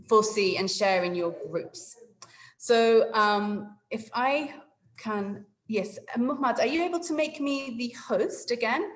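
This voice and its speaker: A woman's voice: fairly high pitch, poor audio. A woman from England with an English accent, politely guiding a video call meeting and giving instructions. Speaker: webinar facilitator. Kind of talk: online meeting facilitation.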